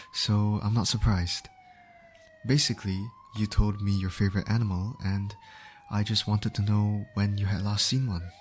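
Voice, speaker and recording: Man acting low-voiced, soothing ambulance medic, siren behind. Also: darkish tone, slight background noise, natural voice. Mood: calm, reassuring. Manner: soothing, coaxing, attentive. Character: gentle, reassuring male ambulance medic tending an injured patient. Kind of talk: ASMR comfort roleplay acting.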